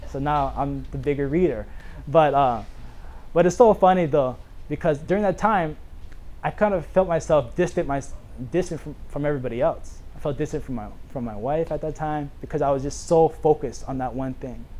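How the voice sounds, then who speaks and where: A man in his thirties from Hawaii, telling a casual, earnest personal story to a small audience in a bookstore, animated and full of "you know" fillers. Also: on the high side, normal speed. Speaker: author giving a talk.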